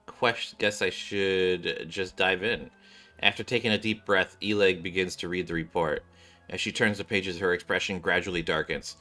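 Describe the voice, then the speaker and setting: A man with a bright, forward voice reads game story text aloud, a little low in pitch. He stumbles on words now and then and corrects himself, with music playing behind him. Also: fairly even intonation, normal speed. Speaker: streamer reading game dialogue aloud. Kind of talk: live read-along of game script.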